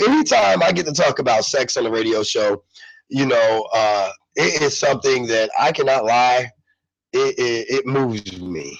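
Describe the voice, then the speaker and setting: Congested gravelly man hosting live radio. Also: mid-range voice, fairly bright tone, no room sound, rough recording. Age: adult parent. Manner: rambling, repeats himself with fillers. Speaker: radio show host. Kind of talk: casual live radio talk.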